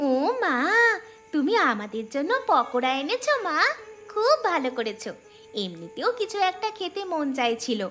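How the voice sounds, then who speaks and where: A woman plays a young village girl in a cartoon. The voice is very high, childlike and polite, with a meek manner. Music is audible behind it. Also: big pitch swings, normal speed, a bit bright. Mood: eager. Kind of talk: cartoon story dialogue.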